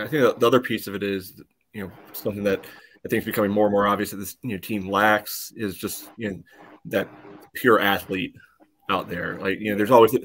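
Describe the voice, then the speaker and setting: A man breaking down a soccer match casually as a podcast panelist, in a halting way peppered with "you know". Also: on the low side, fairly bright tone, a little hiss or hum.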